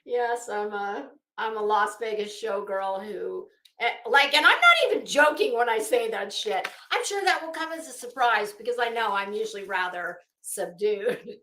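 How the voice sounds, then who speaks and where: A woman hosting a livestream, rambling casually in an animated, theatrical, self-mocking way. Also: fairly high pitch, normal speed. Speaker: livestream host. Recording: echoey, roomy sound.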